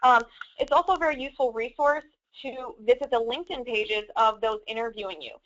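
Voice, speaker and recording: Bright-voiced woman presenting advice, muffled audio. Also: fairly high pitch, a little fast. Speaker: career webinar co-presenter. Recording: dull, band-limited audio.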